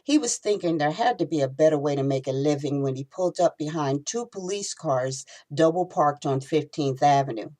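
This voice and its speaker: Low-voiced woman reading a story. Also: animated, normal speed, few pauses, warm tone, low-quality recording. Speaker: author reading from own novel. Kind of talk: expressive storytelling narration.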